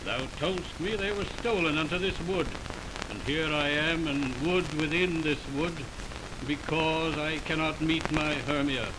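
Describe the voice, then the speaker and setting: Guy acting a cold scornful young man, kinda high voice, stagey 1920s radio drama, noisy old recording. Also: unhurried, lightly acted. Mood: scornful, impatient. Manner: declamatory, stern stage diction. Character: scornful young man rejecting an unwanted admirer. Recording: noisy, hissing 1929 radio aircheck.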